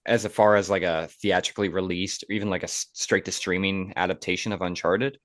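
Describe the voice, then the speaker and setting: A man's voice: deep voice, steady tone, brisk, a bit bright, dry recording, studio quality. A man hosting a podcast, chatting casually about movies in an informal, opinionated way. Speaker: podcast host.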